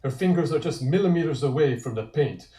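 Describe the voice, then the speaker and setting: A man's voice: fairly high pitch, lively intonation, a little fast, mellow. A man narrating scene directions from a comic script at a table read. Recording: echoey room.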